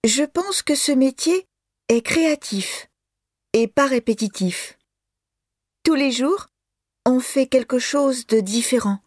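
A woman playing a twenty-year-old student in a scripted listening exercise, speaking in a measured, carefully articulated way. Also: high voice, expressive, darkish tone, dry recording, studio quality, heavily acted. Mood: earnest, friendly. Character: twenty-year-old bilingual female student aspiring to journalism. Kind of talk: scripted listening-exercise monologue, slow and clear.

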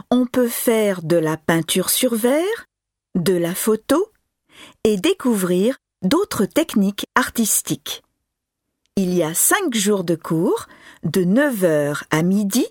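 A woman reading a scripted informational announcement with clear articulation. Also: medium pitch, dry recording, clean studio sound. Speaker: announcement reader.

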